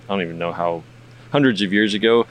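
A man's voice: sits low, fast talker, low-quality recording. A man hosting a podcast, chatting in a rambling, laid-back way and swearing now and then. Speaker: podcast host. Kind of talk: casual interview chat.

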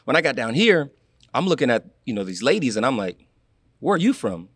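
A young man from Florida, a guest in a casual interview, telling animated stories and acting out what people said. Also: mid-range voice, brisk, breathy edge, dry recording, studio quality. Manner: animated storyteller, acts out quotes, laughs. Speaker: guest, former college athlete.

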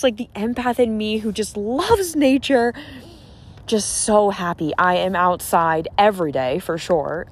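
A woman's voice: lowish voice, a little mellow. An American woman from the Boston area hosting a casual podcast on her own, talking in a gushing, emphatic, informal way like a motivational coach. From Boston area, eastern United States. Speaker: podcast host and confidence coach. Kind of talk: casual solo podcast monologue with motivational pep talk.

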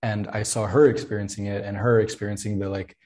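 A young man from Spain, chatting casually as an interview guest. His voice sits a little low, and he rambles through restarts and fillers, laughing often as he goes. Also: flat delivery, quick pace, darkish tone. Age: 20s. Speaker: podcast guest, product designer.

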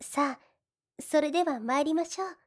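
A woman voicing a gentle, devoted slime woman in an ASMR fantasy audio drama, speaking politely and a little shyly. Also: high-pitched, moderate pace, lightly acted. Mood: calm, reassuring. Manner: soft, polite, deferential, shyly hesitant. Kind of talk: Japanese ASMR situation voice drama.

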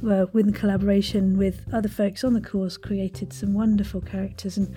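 A woman's voice: mid-range voice, flat delivery, normal speed, dark tone, low-quality recording. A woman hosting a casual, chatty podcast interview in a playful, effusive way with flowery wording.

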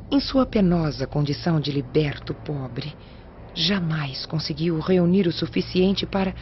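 A woman's voice: lowish voice, slight background noise, natural voice. A woman playing a humble, earnest middle-aged servant in a radio drama, with a Brazilian accent and a deferential manner. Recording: muffled radio drama, soft music underneath.

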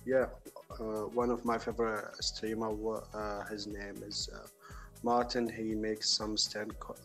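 A young man from Germany, a native German speaker and student, chatting haltingly in English with many fillers. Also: lowish voice, level tone, a bit bright, faint music behind. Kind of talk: casual chat.

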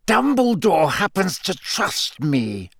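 A man's voice: high voice, sing-song, slow, gravelly, fairly bright tone, breathy edge, no room sound, clean studio sound, pitched above the performer's own voice, exaggerated voice. A man voicing a cold, suspicious male professor for an audiobook, menacing and curt, with clenched, hissing words. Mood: seething. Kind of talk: audiobook character voice.

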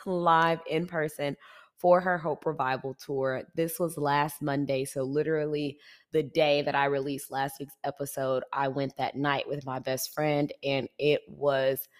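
Congested low-voiced woman podcaster. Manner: chatty, warm address to listeners. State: stuffy and congested from allergies. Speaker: podcast host. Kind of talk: faith-based self-improvement podcast.

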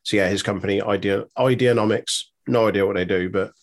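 A man's voice: on the low side, fairly even intonation, a little fast. A man co-hosting a wrestling news podcast in casual chat, swearing easily and reading out news items. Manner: swears casually, laid-back banter. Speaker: podcast co-host.

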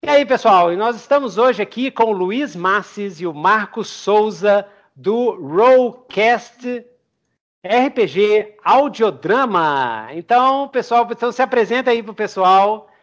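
High-pitched Brazilian man hosting a chat. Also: animated, slow. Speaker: podcast host. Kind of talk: casual chat interview.

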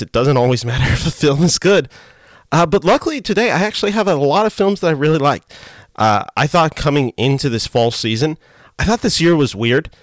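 A young man with a hoarse voice hosting a solo podcast, chatting casually and rambling with lots of fillers and self-corrections. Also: medium pitch, no room sound. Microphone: desk mic with iPhone as webcam.

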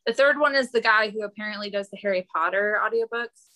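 A woman's voice: mid-range voice, quick pace, sharp tone. A woman guest on a podcast, chatting in an enthusiastic, informal way. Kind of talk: casual chat.

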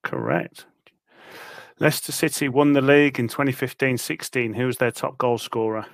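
Man with a gravelly voice. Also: mid-range voice, normal speed, no echo. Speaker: podcast co-host running the quiz. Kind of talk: casual chat, quiz questions.

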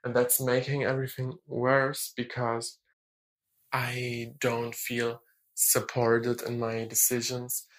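A nineteen-year-old woman from Germany with a German accent, calling in for advice in a hesitant, halting, earnest way. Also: rumbling low, completely flat delivery. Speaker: caller asking for advice. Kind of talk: personal voicemail-style account.

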